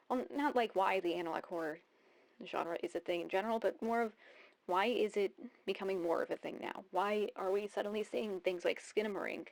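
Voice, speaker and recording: A woman in her thirties giving casual video essay commentary, rambling and thinking aloud as she repeats phrases. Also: medium pitch. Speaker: YouTube host.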